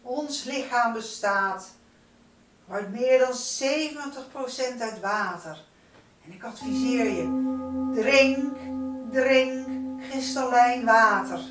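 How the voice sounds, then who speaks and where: Female spiritual guide, slow and solemn, chant-like repetitions, recorded at some distance from the mic. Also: fairly high pitch, music in the background. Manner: solemn, incantatory, drawn-out phrasing with repetitions. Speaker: spiritual teacher leading a light-circle meditation. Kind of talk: spiritual guided meditation talk. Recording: roomy, distant microphone, noisy background.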